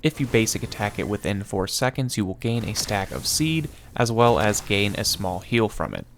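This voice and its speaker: A man narrates a scripted gaming explainer in a lowish voice with plenty of vocal fry. His delivery is instructional and matter-of-fact, and there is faint noise behind him. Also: lively intonation, moderate pace.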